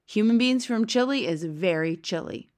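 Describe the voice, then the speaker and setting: A woman's voice: medium pitch, expressive, moderate pace, darkish tone, pitched above the performer's own voice, lightly acted. A woman voicing a brutish, gluttonous male giant in a storybook, bellowing and sneering with mangled grammar. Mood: gloating. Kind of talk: storybook character reading.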